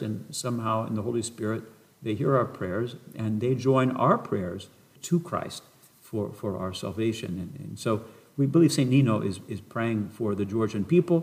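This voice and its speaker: A man's voice: medium pitch, darkish tone. A man who is a parish priest, answering interview questions in a thoughtful, rambling way with frequent restarts.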